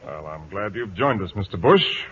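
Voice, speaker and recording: Commanding, lively-voiced warship captain. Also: male voice, low-pitched, over music. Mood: dry, commanding. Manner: measured, authoritative, dryly understated. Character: commanding, cool-headed, wry Royal Navy warship captain. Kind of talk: 1940s-50s radio drama acting. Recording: muffled old radio broadcast, audience noise.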